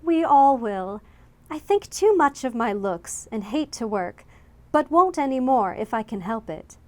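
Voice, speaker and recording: An actress playing a proper, gentle eldest teenage sister in a storybook drama, earnest and ladylike. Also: fairly high pitch, very animated, unhurried. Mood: earnest resolve.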